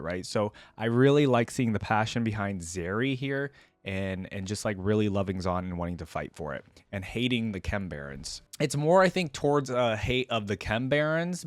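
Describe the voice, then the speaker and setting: A man with a fairly low voice hosts a casual reaction video. He rambles along with enthusiasm in an informal, conversational style, and the sound is very dry. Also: dry recording. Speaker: YouTube reactor, host.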